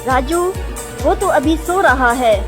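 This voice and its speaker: Village mum for a children's cartoon played by a woman, high voice, gentle and practical, music behind. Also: lively intonation, slow. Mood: surprised. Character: young boy's village mother, gentle and practical. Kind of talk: Hindi cartoon bedtime-story dialogue. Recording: music under the voice.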